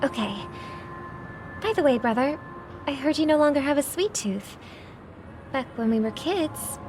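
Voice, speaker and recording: High-voiced, earnest young woman. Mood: soft, wistful. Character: earnest, gentle but firm young sister, idealistic. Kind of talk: video game voice acting. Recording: loud music behind the voice.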